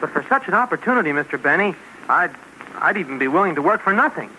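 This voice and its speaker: Eager high-voiced young radio tenor. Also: male voice, big pitch swings, quick pace, bright, forceful tone. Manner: eager, pleading. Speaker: young tenor singer seeking a job. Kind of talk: 1940s radio comedy acting. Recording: noisy vintage radio broadcast.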